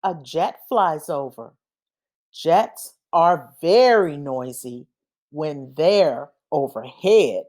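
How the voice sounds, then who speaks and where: Woman reading a picture book, very unhurried. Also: deep voice. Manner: deliberate, expressive, acts out sound effects. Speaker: read-aloud reader. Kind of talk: children's picture book read-aloud.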